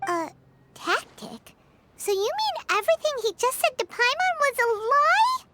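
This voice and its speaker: A woman voicing a tiny, chatty fairy companion who talks about herself in the third person, with a squeaky, childlike voice, in video game dialogue. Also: sing-song, a little slow, sharp tone, heavily acted. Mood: puzzled. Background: game music.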